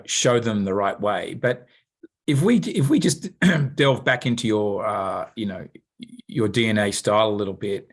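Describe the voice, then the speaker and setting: A man hosting a podcast, asking interview questions in a searching, hesitant way with many fillers. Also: on the low side.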